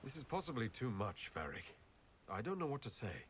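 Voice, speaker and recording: A man playing a composed, good-humoured heroic leader in a video game, measured and dryly polite. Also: medium pitch, sing-song, a little hiss or hum, natural voice. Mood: amused, touched. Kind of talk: video game cutscene acting.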